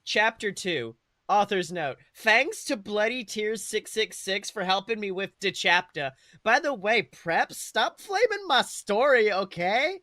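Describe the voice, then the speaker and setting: A man's voice: high voice, animated, a little slow, pushed and bright, no echo, clean studio sound, pitched above the performer's own voice, full character voice. A man voicing a gushing, bratty teenage goth girl in a comic fan fiction reading. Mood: bratty, pleading.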